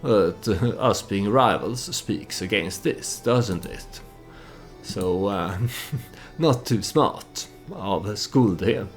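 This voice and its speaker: A man's voice: lowish voice, animated, drawn-out words, soft background music. A man who is not a native English speaker gives casual gameplay commentary with storytelling, in a hesitant, meandering manner. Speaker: let's play host.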